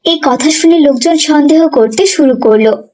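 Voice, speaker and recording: A woman's voice: high voice, moderate pace, darkish tone. A woman reading scripted narration for a children's cartoon story.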